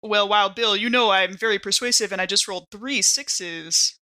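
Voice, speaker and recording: A woman playing a tabletop roleplaying game, talking casually and in character, animated and playful. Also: medium pitch, normal speed.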